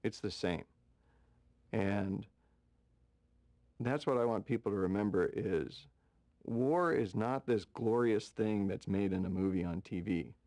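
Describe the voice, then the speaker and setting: A man speaking gravely and deliberately in a reflective documentary interview. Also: lowish voice, a little mellow, dry recording.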